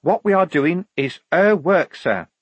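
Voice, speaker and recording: A man's voice: on the high side, animated, a little slow, breathy edge, dry recording, dull audio, voice pushed up. A man voicing a small, fervently devout young woman soldier in an audiobook, solemn and otherworldly in her certainty.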